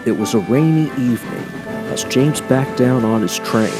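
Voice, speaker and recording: A man's voice: mid-range voice, expressive, normal speed, over music. A man reading scripted narration for a children's story as a storyteller.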